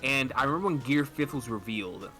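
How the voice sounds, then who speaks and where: A man talking casually and enthusiastically as a fan on a podcast, halting and rambling as he lays out his thoughts. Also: mid-range voice, animated, sharp tone, soft background music. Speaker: podcast speaker discussing manga theories.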